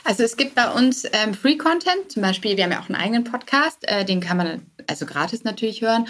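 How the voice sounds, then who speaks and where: Casting: woman, mid-pitched, candid startup guest, conversational, sprinkles in English business terms. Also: a bit bright. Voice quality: English business loanwords mixed in. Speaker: interview guest, startup co-founder. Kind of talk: casual interview conversation.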